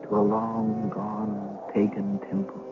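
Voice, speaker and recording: Low-voiced haunted gentleman on noisy old radio. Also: monotone, slow pace. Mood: haunted narration. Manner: formal, theatrical delivery. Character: conscience-stricken male confidant of a duke, formal and anguished. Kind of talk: period radio drama acting and narration. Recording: noisy, tinny old radio broadcast recording.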